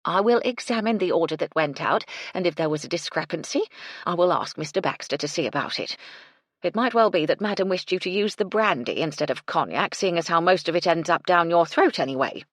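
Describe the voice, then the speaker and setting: A woman voicing a stern middle-aged housekeeper who gives sharp commands. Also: lowish voice, expressive, a little fast, no room sound, studio quality. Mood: bossy.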